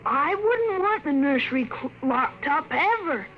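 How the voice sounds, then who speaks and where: A woman's voice: high-pitched, very animated, a little slow. A woman voicing a spoiled, willful young boy who talks in a sulky, insistent way, in 1950s radio drama acting. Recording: muffled hissy 1950s radio broadcast.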